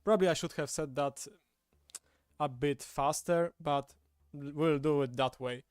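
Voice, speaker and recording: A man's voice: on the high side, fairly even intonation, a little slow, clear, resonant tone, no echo, studio quality. A man from Poland, a native Polish speaker with a Polish accent, chatting casually as he hosts a livestream. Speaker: livestream host. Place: indoors at a table, streaming setup. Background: background music.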